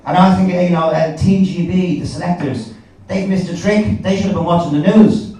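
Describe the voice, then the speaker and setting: Irish stand-up comic in an echoey room. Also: male voice, high voice, fairly even intonation, a little fast, warm tone. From Ireland. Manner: conversational storytelling, sweary. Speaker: stand-up comedian. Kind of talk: live stand-up comedy routine. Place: indoor live comedy gig. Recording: echoey, noisy live club recording from a distance.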